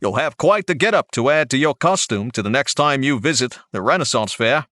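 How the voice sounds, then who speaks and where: A man's voice: medium pitch, lively intonation, a little fast, sharp tone, dry recording, studio quality. A man voicing a brash male blade vendor with an Australian accent, talking like a pushy salesman. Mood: salesy.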